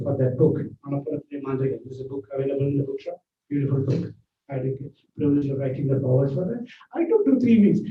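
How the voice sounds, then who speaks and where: An older man whose first language is Malayalam, giving an earnest, rambling speech of thanks at his book launch, with many fillers and restarts. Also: on the high side. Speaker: author speaking at book launch. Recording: echoey hall, distant mic, dull and noisy.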